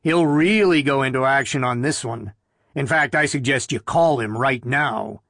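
A man plays a gruff, cautious technician in an audiobook story. He talks bluntly, with a sardonic edge. The recording is dry and close. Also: mid-range voice, normal speed, fairly bright tone, no echo. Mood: wry.

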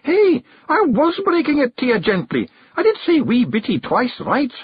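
High, brash tiny Scottish fairy warrior. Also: male voice, wildly swooping pitch, normal speed, husky, full character voice. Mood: blunt, breezy. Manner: blunt, emphatic dialect talk. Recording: dull, lo-fi recording.

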